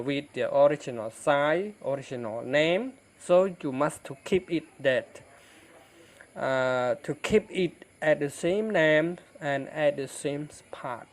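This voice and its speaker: A man, an English speaker, talking through a software tutorial in a halting way, with many fillers and restarts. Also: fairly high pitch. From Cambodia. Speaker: tutorial teacher. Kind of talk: screen-recorded software tutorial.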